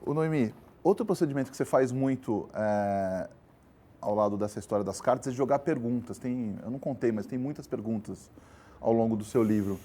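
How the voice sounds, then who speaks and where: Mellow soft-spoken Brazilian male interviewer. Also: medium pitch, a little fast. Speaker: interviewer host.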